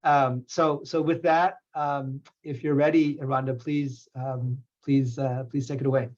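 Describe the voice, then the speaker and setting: A man hosting an academic seminar series, introducing the speaker in a halting way with many pauses and repeated words. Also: mid-range voice, little pitch movement, a little mellow. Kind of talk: formal introduction. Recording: slightly dull, muffled audio.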